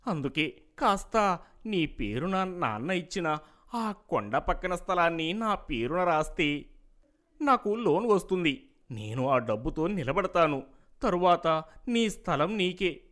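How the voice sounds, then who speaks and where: A man's voice: high voice, big pitch swings, measured pace, fairly bright tone, exaggerated voice. A man playing a scheming, greedy younger brother in a cartoon moral story. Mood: wheedling.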